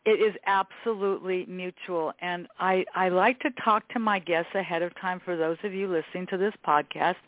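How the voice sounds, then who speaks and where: A woman's voice: fairly low pitch, steady tone, moderate pace, hardly any pauses, dry recording. A woman hosting a podcast in a conversational, effusive way, repeating words for emphasis. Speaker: podcast host. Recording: narrow phone-like band.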